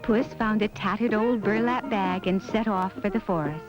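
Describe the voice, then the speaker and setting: A woman's voice: mid-range voice, moderate pace. A woman narrating a children's fairy tale from a script, as a storyteller. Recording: dull audio with music behind. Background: music.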